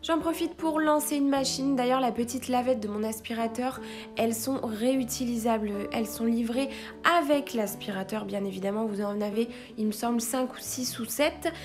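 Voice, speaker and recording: A young woman in her twenties speaks in a slightly high, chatty voice-over for a home cleaning vlog. She praises a product with eager enthusiasm, and soft music plays quietly behind her. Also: moderate pace. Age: 20s. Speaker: vlogger, young mother. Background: faint background music.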